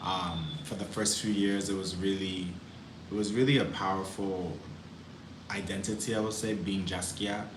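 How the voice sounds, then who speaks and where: Deep flat-voiced man on a live stream. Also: moderate pace. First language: English. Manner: reflective, greets viewers by name. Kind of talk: casual live stream chat. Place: indoors in Cali, Colombia. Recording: roomy with clear background noise.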